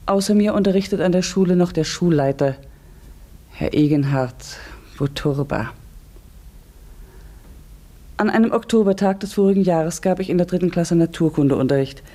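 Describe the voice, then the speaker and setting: An actress playing a village schoolteacher in a German radio drama, articulate and measured, stern yet gentle. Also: female voice, deep voice, close to an everyday voice. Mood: measured, reflective. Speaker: actor in a radio play. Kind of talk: German radio drama, narration and classroom dialogue.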